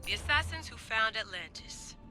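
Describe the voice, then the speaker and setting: A woman playing a confident, determined young assassin researcher in a game cutscene, brisk and casual. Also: fairly high pitch, animated, music in the background, close to an everyday voice. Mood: wry, musing. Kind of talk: video game cutscene acting.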